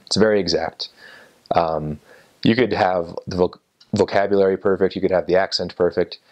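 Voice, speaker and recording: A man in his twenties, seated indoors and talking to an off-camera interviewer in a relaxed, thoughtful way, with many fillers and restarts. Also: sits low, normal speed, highs cut off. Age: 20s. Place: indoor room by a window, seated in an armchair.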